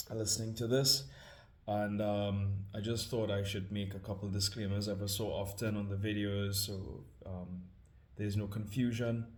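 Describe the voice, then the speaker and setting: A man with a Trinidadian accent, hosting scripture readings, speaking in a casual, hesitant, humble way with many pauses and fillers. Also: fairly low pitch, darkish tone, roomy sound. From Trinidad and Tobago. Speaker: scripture reader. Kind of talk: casual spoken introduction.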